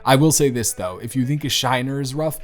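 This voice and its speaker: Man playing teenage boy best friend, mid-range voice, hesitant, gently wry, self-deprecating, intimate audio roleplay, soft music underneath. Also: medium pitch, brisk, close to an everyday voice. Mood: sheepish, joking. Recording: music behind the voice. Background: soft background music.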